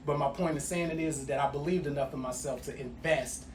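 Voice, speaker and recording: A man's voice: a little high, fast talker. Slangy podcast host man, echoey and distant. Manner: relaxed slangy banter. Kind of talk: casual chat. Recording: echoey room from a distant mic, noisy.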